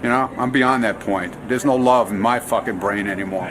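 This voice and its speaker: A man's voice: mid-range voice, brisk. Blunt, grumpy man in a noisy room. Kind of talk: casual bantering interview. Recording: noisy room with background chatter.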